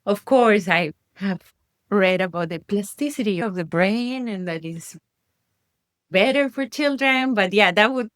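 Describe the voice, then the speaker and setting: A woman's voice: medium pitch, unhurried, no echo, clean studio sound. A woman from Colombia with a Colombian Spanish accent, a native Spanish speaker talking reflectively as a guest parent, with many restarts. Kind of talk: casual conversation.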